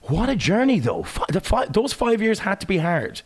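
Brief: male host, mid pitch, very animated swings, effusive and jokey. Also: slightly rough. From Ireland. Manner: effusive and animated, quick asides and jokes. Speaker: radio interviewer. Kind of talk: enthusiastic radio interview.

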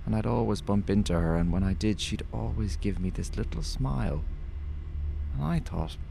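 An actor playing a naive, self-doubting condemned criminal in a naturalistic radio drama, hesitant, rambling and earnest. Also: male voice, fairly low pitch, moderate pace, gravelly, warm tone, a little hiss or hum. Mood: wistful, unsure.

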